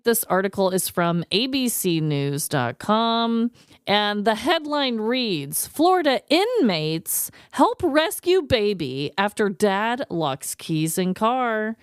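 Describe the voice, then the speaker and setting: A woman co-hosting a podcast in casual, comedic chat, animated and quick to laugh and swear. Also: medium pitch, smooth, no echo, clean studio sound. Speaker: podcast co-host.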